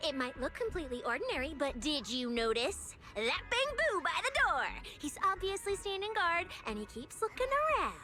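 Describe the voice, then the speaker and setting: Eager, high-pitched young female officer. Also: brisk, hardly any pauses. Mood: eager, suspicious. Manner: animated, theatrical. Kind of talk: video game voice acting. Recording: game music behind the voice.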